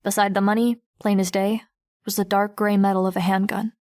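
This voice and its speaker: A woman playing a guarded, observant teenage girl who narrates an audiobook in the first person, reflective and measured. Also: mid-range voice, level tone, darkish tone, natural voice. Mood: wary. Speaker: narrator and lead character.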